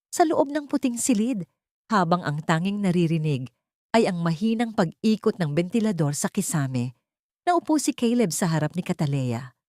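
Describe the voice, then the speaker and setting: A woman narrating a scripted romantic drama with solemn, measured, dramatic storytelling. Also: lowish voice, a little mellow, no echo, studio quality.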